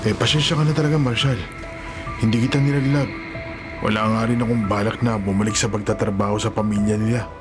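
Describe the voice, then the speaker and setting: An actor playing a loyal, apologetic working-class Filipino friend in a radio drama, earnest and sympathetic. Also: male voice, lowish voice, steady tone, quick pace, over music, natural voice.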